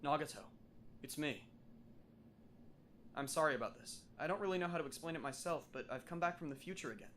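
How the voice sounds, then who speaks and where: A man's voice: on the high side, brisk, pauses often, a bit bright, slight background noise, close to an everyday voice. Man voicing a wry, earnest anime schoolboy. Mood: apologetic, explaining. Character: wry, earnest teenage schoolboy time traveler. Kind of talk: anime fan dub acting.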